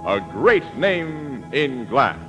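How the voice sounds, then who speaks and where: A man's voice: mid-range voice, sing-song, slow pace, loud music behind the voice. An American man working as a radio announcer, reading scripted copy formally and emphatically in 1940s style. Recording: vintage radio broadcast recording.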